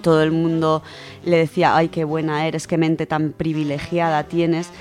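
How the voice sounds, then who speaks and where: A woman's voice: sits low, little pitch movement, normal speed, a little mellow. A woman with an accent from Spain, speaking enthusiastically as a radio contributor in a conversational book segment. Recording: music under the voice. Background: background music.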